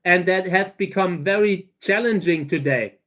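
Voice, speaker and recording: A German man in his thirties with a German accent, answering viewer questions on a casual livestream, halting and restarting his sentences often. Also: high-pitched, fairly bright tone. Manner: halting, many restarts and fillers. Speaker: livestream host.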